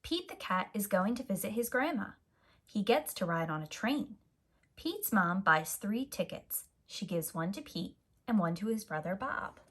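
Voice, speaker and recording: A woman reading a children's picture book aloud as a teacher, in a deliberate, animated way for young listeners. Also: mid-range voice, husky.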